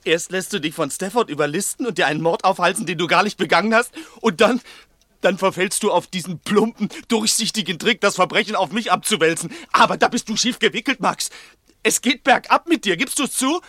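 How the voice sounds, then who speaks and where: A man's voice: high voice, fairly quick, bright, forceful tone, no echo, clean studio sound. A male actor playing a nervous, resentful small-time crook in an agitated, insistent way in a 1960s German radio play. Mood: taunting, agitated. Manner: agitated, insistent, repeating himself.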